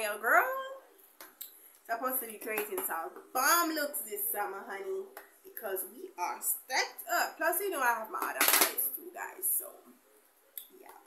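A young woman chatting casually to camera as a beauty vlogger, animated and colloquial, addressing viewers familiarly. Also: on the high side, reverberant, background noise. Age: young adult. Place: small indoor room with bare wall.

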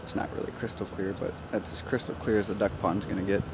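A man casually showing viewers around his backyard garden outdoors, chatty and relaxed, joking with his animals. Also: low voice, fast, vocal fry, noisy background. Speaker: gardener giving a backyard tour. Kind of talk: casual walk-through narration.

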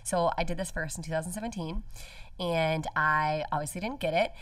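Low-voiced woman in her twenties, earnest. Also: fairly quick, fairly bright tone, dry recording, distant mic. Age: 20s. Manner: earnest, storytelling, many "like" fillers. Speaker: podcast guest. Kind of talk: casual interview chat. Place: podcast studio with window. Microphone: studio mic on stand, headphones.

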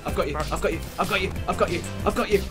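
A man's voice: sits high, racing. A young man in casual gaming chat with friends, joking, laughing and swearing. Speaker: gamer playing with friends. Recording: game music in background.

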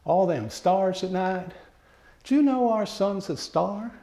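A man's voice: high-pitched, lively intonation, takes it slowly, dark tone, voice pushed up, full character voice. A man reciting a narrative poem as a plainspoken, reflective country man with an American country accent. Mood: wistful, wondering. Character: plainspoken, wondering country man musing on life. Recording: echoey room with faint background noise.

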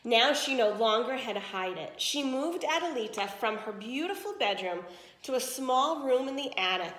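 A woman's voice: fairly high pitch, lively intonation, moderate pace, fairly bright tone, reverberant. A woman reading a picture book aloud to children like a teacher, explaining as she goes and checking understanding. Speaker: read-aloud storyteller, teacher.

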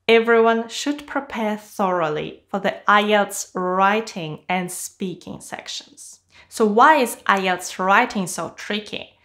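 A woman in her forties from Kazakhstan presents an exam-prep lesson in English, her second language. She speaks clearly and upbeat, explaining step by step. The sound is close and clean, recorded in a small home studio. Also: mid-range voice, fairly bright tone. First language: not English. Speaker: IELTS teacher. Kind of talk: scripted video lesson.

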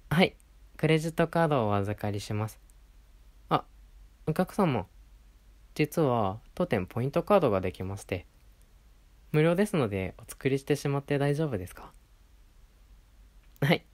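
A man's voice: medium pitch, a little mellow, lightly acted. A man playing a polite, attentive clothing shop assistant in a roleplay audio, speaking courteously to a regular customer. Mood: polite, businesslike. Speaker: voice performer in a situation voice audio. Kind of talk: Japanese situation-voice roleplay addressed to the listener.

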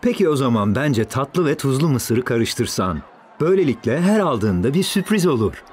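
A man playing a gentle, sensible young father in a cartoon. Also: mid-range voice, big pitch swings, normal speed, a little hiss or hum, natural voice. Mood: gently instructive. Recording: music under the voice.

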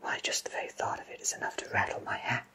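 A man whispering an ASMR roleplay close to the microphone as a courteous, cheerful potion shopkeeper, with genteel, theatrical phrasing and deliberate pauses. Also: sharp tone. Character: cheerful, courteous, flowery-spoken potion shopkeeper in a fantasy town.

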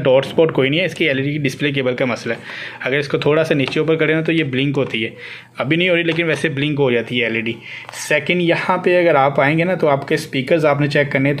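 A man's voice: fairly high pitch, fairly even intonation, fast talker, a bit bright. A man giving an unscripted, step-by-step tech tutorial, instructing and repeating phrases as he demonstrates. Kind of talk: hands-on spoken tutorial, unscripted. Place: indoors at a desk with a laptop. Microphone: handheld phone behind the camera. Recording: clearly noisy homemade phone recording.

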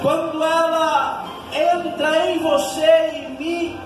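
A man in his thirties with a Northeastern Brazilian accent, preaching a passionate sermon that surges loud and then drops low, into a handheld mic in a small church hall. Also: level tone, slow pace. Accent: Northeastern Brazilian Portuguese. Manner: impassioned preaching, surging then dropping low. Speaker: pastor. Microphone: handheld mic at a pulpit. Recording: echoey hall, distant camera, loud music.

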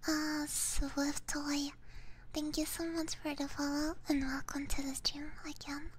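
A woman hosts a casual ASMR stream in a soft, mostly whispered voice. Her pitch is high and she talks slowly, close to the microphone. There is clear background noise behind her. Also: little pitch movement. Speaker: live stream host. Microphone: close binaural ASMR microphone.

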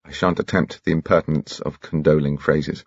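Guy as serious detective, deep husky voice, restrained but resolute, audiobook. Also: steady tone, normal speed, no echo, highs cut off. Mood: grimly resolved. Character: grave, determined gentleman detective, vengeful friend.

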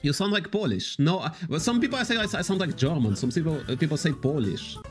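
A man in his thirties hosting a live slots stream from an indoor room, talking loosely to his chat in halting, repetitive English. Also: a little high, sing-song, faint music behind. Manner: reads and answers chat, loose non-native English with repetitions.

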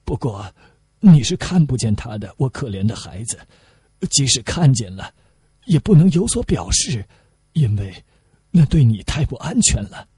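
Very husky, earnest old father, acted by a man. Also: mid-range voice, very animated, normal speed, dark tone. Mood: earnest, tender. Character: gentle, earnest old father advising his daughter.

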